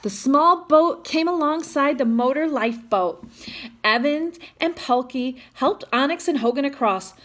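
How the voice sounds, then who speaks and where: A woman with a fairly high voice reads a children's storybook aloud. Her delivery is expressive, and she breaks off for chatty little asides to young listeners. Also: unhurried. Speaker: storytime reader.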